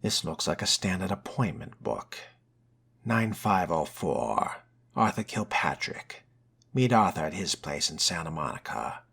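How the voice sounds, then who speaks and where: A man voicing a brooding, genteel vampire in video game roleplay, breathy and near-whispered, with a measured, ominously polite, old-fashioned manner. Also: low voice, bright, forceful tone, full character voice. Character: brooding, genteel, world-weary male vampire fledgling.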